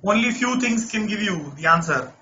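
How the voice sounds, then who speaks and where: A man runs a live online math class in a high, sharply bright voice. He coaxes students along, checks in with them again and again, and sounds a little off-voice. The audio is dull and lo-fi, with faint noise behind him. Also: expressive, moderate pace. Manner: coaxing, repeats checks like "right?". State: voice not normal, says he lost his voice. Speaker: math teacher.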